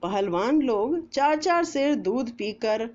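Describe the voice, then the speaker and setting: A woman storyteller reading a moral essay aloud in a measured, deliberate way, with pauses between phrases. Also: fairly high pitch, animated, darkish tone, highs cut off. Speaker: storyteller narrator.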